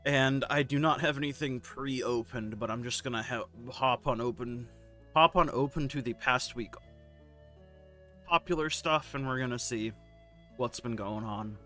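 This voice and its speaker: A man with a hoarse, sore throat hosting a gaming video, casually reading forum posts aloud and giving his candid, laid-back take. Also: mid-range voice, measured pace, pushed and bright, faint music behind.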